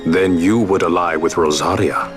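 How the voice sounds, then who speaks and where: A man's voice: deep voice, fairly even intonation, over music, natural voice. An actor playing a grave nobleman who advises rebels in formal, old-fashioned speech. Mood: wary. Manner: formal, archaic, measured speech. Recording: game cutscene with loud orchestral score.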